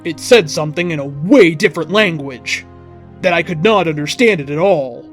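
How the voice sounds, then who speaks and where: A man reading fan fiction aloud as an expressive, theatrical narrator. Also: on the high side, normal speed, soft background music. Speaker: story reader.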